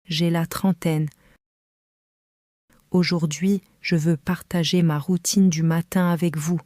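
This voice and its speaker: Woman, learner reading, slow, mellow low voice, calm careful phrasing. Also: no echo, clean studio sound. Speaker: French reader for learners. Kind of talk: slow scripted reading for beginners.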